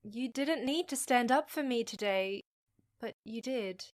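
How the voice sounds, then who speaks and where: Woman doing wry candid half-human gal in a podcast drama, highish voice, slow, kinda awkward. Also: natural voice. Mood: grateful, candid. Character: frank, wry half-human woman, pun-loving job seeker. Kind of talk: fiction podcast acting.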